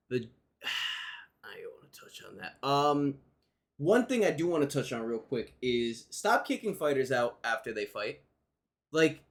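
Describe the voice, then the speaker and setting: A man co-hosting a casual podcast, rambling and joking as he talks about fight news. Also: medium pitch. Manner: rambling, joking, animated banter. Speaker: podcast co-host.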